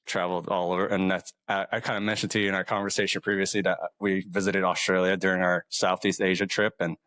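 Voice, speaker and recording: A man from Hawaii hosting a podcast, talking in a relaxed, casual way with lots of "like" and "man.". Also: low-pitched, fast talker, no echo, clean studio sound. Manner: laid-back, says "man" and "like" often. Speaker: podcast host. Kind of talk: casual interview chat.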